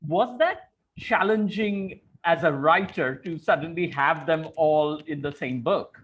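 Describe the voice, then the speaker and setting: A man's voice: on the high side, a little slow, a bit bright, husky. A man moderating a literary conversation, articulate, putting long and thoughtful questions to a guest. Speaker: interviewer, moderator. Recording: dull, lo-fi call-like audio.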